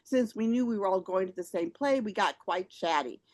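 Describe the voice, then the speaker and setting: Female host and theater critic, medium pitch, reading prepared reviews. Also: lively intonation, moderate pace, a little mellow. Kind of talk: scripted review read aloud.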